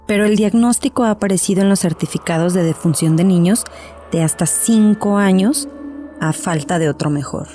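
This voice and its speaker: A woman's voice: medium pitch, moderate pace, warm tone, soft background music, lightly acted. A woman playing a composed, probing and punctilious psychotherapist in a horror audio drama. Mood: probing, precise.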